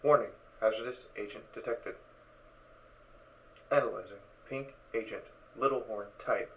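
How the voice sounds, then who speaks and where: A man's voice: medium pitch, normal speed, gravelly, lightly acted. A man voicing an impassive spacesuit computer with a metallic voice and clipped, procedural announcements. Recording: dull recording with faint noise.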